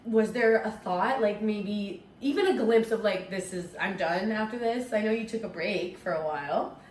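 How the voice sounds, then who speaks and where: A woman in her early thirties chatting casually in an animated, emphatic way. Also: mid-range voice, moderate pace. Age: early 30s. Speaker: talk show participant, roller derby skater. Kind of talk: casual chat. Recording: roomy echo, faint background noise.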